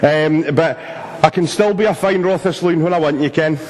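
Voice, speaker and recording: A man's voice: fairly high pitch, normal speed, background noise. A Scottish man giving an informal, jokey talk to a church audience, poking fun at himself with lots of ums. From Scotland. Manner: jokey, self-mocking, many filler ums. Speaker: speaker at a church youth event. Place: church hall. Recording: long reverb of a large hall.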